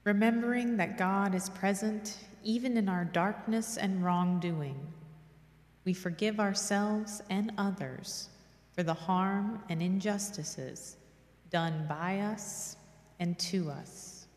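Slow, solemn woman reading in echoing church. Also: medium pitch, darkish tone. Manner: measured, reverent. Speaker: reader of a church devotional. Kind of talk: solemn scripted devotional reading and prayer. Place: large church interior, at a lectern. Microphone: microphone on lectern stand. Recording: echoey church hall with long reverb.

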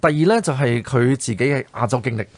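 Brisk Hong Kong man, mid-pitched, discussing history. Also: animated, no echo. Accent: Hong Kong Cantonese. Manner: explains point by point. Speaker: radio history show co-host. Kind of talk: conversational historical commentary.

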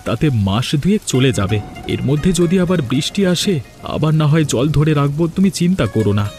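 A man's voice: on the high side, sing-song, a little fast, a little mellow. A man playing a poor, patient village husband in a cartoon, speaking in an earnest, reassuring way. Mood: protective. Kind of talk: Bengali cartoon voice acting. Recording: music behind the voice.

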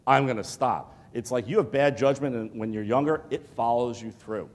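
A middle-aged man giving a keynote speech to students, talking in an animated, pleading, conversational way, often checking in with "okay?". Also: medium pitch, normal speed. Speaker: keynote speaker. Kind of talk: motivational lecture to students. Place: large hotel ballroom with audience. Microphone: lapel mic. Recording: long hall reverb.

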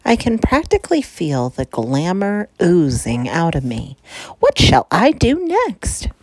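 Vain young storybook hamster girl, played by a woman, gushy and theatrical, hugely animated pitch swings. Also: a little slow, breathy edge, dry recording, heavily acted. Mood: excited. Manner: gushing, dramatic. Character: excitable, vain young female hamster chasing glamour. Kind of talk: children's storybook character voice.

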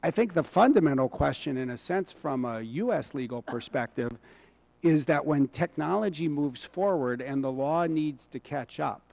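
A middle-aged man testifying at a hearing, speaking in a measured, persuasive way into a table microphone in a large hearing room. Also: a little high, expressive, vocal fry, darkish tone. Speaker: hearing witness. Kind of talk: congressional testimony.

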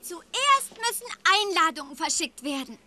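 An eager little cartoon tiger in a children's audio play. The voice is very high and lively, speaking slowly with childlike curiosity. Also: female voice, soft background music, heavily acted. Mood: decisive.

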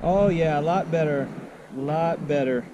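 A middle-aged American man chatting casually as a ham radio operator outdoors, halting and laid-back, repeating his phrases. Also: a little high, dull audio, very noisy. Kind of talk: casual radio contact chat. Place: outdoors at a picnic table on a mountain.

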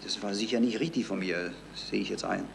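A man's voice: on the low side, a little fast, vocal fry. An actor playing a shifty, down-on-his-luck dog thief under questioning in a radio crime drama, evasive and self-justifying. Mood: defensive. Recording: slightly noisy 1970s radio recording.

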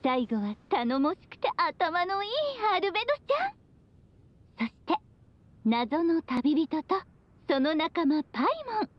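A woman's voice: high-pitched, no echo, dull audio. A woman voicing a breezy, affectionate, free-spirited witch mother in a video game, with a teasing friendly manner. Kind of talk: video game voice acting, monologue.

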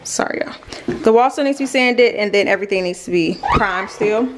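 A woman's voice: medium pitch, a little fast. A young adult woman chatting informally to viewers in a casual vlog, recorded indoors on a nearby camera. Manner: informal, addresses viewers directly. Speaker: vlogger. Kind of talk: casual vlog chat, narrating a house tour. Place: indoors, rooms of a house under renovation. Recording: clear background noise, clicks.

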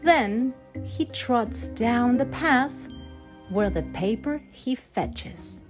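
A woman reading a rhyming children's picture book aloud with measured, deliberate phrasing. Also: fairly high pitch, expressive. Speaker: storybook narrator. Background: instrumental backing music.

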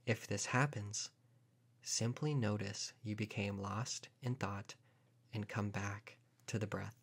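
Man, low voice, very slow pace, guided meditation, calm, gentle instruction. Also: slightly rough. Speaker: meditation teacher.

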